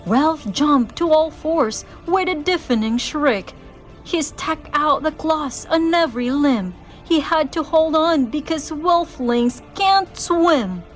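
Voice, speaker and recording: A woman giving an expressive, theatrical read-aloud of a rhyming children's story. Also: high-pitched. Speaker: storybook reader.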